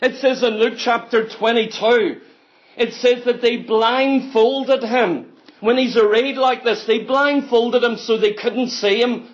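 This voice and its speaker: A man's voice: very high voice, a little slow. A man preaching an impassioned sermon, telling the story dramatically and pressing each point with emphatic repetition. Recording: dull, lo-fi sermon recording.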